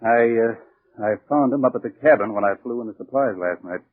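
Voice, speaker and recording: An actor playing a gruff, plain-spoken bush pilot in a 1940s radio drama, talking colloquially with hesitant pauses. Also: male voice, mid-range voice, no echo, close to an everyday voice. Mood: grim. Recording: very noisy, tinny 1940s radio recording.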